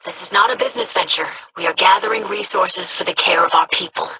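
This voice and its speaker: A woman's voice: lowish voice, quick pace, sharp tone, slightly rough, exaggerated voice, low-quality recording. A woman voicing a scholarly, idealistic young alien scribe in a video game, speaking formally and precisely. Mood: earnest, disapproving. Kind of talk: video game character dialogue.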